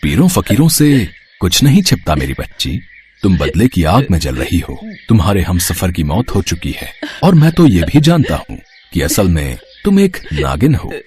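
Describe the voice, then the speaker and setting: A man playing a wise old fakir in an audio folk tale, giving slow, fatherly, solemn counsel. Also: low voice, wildly swooping pitch, a little mellow. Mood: solemn, gentle. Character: wise old male fakir, calm mystic holy man.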